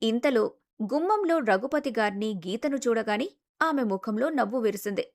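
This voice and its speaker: A woman's voice: a little high, expressive, moderate pace. A woman reading a scripted family story as a dramatic narrator.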